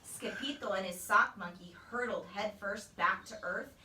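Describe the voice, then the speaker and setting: A woman reading a children's story aloud as a teacher, with expressive, animated narration. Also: mid-range voice, normal speed. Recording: echoey room, distant microphone.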